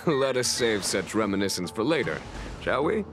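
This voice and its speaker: Deep, measured, courteous male general. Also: sing-song, over music. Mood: composed, grave. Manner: formal, deliberate, measured. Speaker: voice actor. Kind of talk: scripted game cutscene dialogue.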